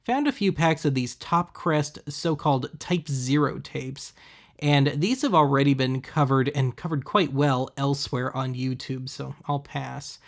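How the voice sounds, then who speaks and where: A man hosting a web series, giving casual, deadpan, wry voice-over commentary on thrift finds. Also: mid-range voice, measured pace, vocal fry. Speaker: host of a web video series.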